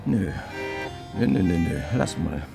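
Animated detective, lowish voice. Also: male voice, unhurried, gravelly, over music, heavily acted. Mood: flustered, indignant. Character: male police detective, teasing, hesitant, easily flustered colleague. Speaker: actor in a radio drama. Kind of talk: German radio crime drama acting.

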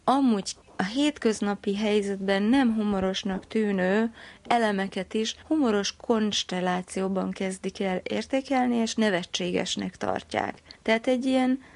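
A woman's voice: medium pitch, gravelly, darkish tone. A woman, a research psychologist, explaining her study in an interview with careful, academic phrasing.

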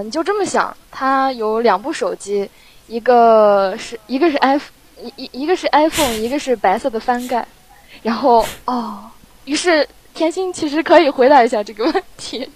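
Casual female chat host, higher register, repeats herself haltingly, light background noise. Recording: noisy home mic with clicks.

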